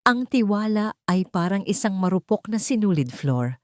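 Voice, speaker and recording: A woman's voice: mid-range voice, very animated, moderate pace, darkish tone, no echo, studio quality, natural voice. A woman voicing a cold, suspicious elderly matriarch, a wealthy mother-in-law in an audio drama story, speaking in an icy, accusing manner.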